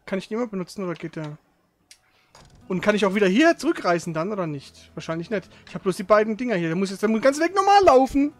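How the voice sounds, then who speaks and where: Man, high voice, quick speech, frustrated grumbling, swearing, casual game commentary. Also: sing-song. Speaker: video game streamer.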